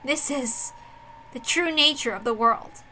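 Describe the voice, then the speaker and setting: A woman voicing a proud, intense young female detective with theatrical, deliberate delivery in a visual novel reading. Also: high-pitched, bright, forceful tone, a little hiss or hum, poor audio. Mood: moved, reverent.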